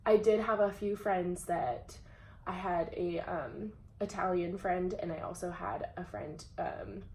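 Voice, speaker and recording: A young adult woman speaking casually and hesitantly, pausing often with "um". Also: lowish voice, darkish tone. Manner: hesitant, many "um" pauses, laughs easily. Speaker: interviewer. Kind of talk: casual conversation. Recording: echoey room with faint background hum.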